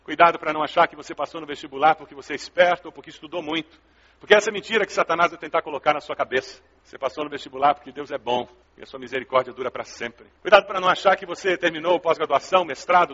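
Male pastor, Brazilian Portuguese accent, husky voice, emphatic teaching sermon, dull muffled recording. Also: a little high, quick pace, sharp tone. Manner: emphatic, didactic, with rhetorical questions and repetition. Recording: dull, reverberant large-hall recording.

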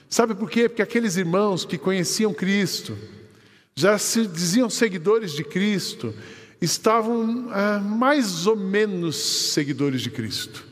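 Brazilian pastor preaching in a reverberant hall. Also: male voice, high-pitched. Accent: Brazilian Portuguese. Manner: lively storytelling, quoting others in conversation. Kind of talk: sermon with storytelling. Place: church hall. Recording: long church hall reverb.

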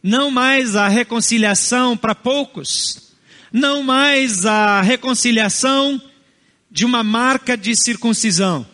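A Brazilian man who first spoke another language at home, a pastor preaching an earnest sermon in short, measured phrases. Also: very high voice, pushed and bright, big-room sound. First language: not Portuguese.

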